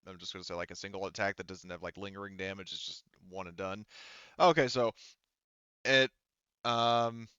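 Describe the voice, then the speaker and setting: A man's voice: sits low, moderate pace, pushed and bright, smooth, no room sound, highs cut off. A man working as game master, narrating a tabletop roleplaying game casually and profanely, thinking aloud as he works through the rules.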